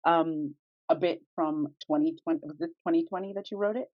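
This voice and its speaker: A woman co-hosting a podcast in casual chat, with an animated, exclamatory way of talking. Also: low voice, brisk, no echo, highs cut off. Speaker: podcast co-host.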